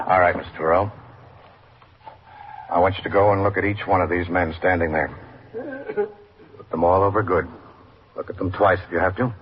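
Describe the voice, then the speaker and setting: A man's voice: low voice, steady tone, normal speed, long pauses, close to an everyday voice. An American man playing a patient, businesslike police detective in a 1950s radio drama, giving plain procedural instructions. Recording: dull, noisy old radio recording.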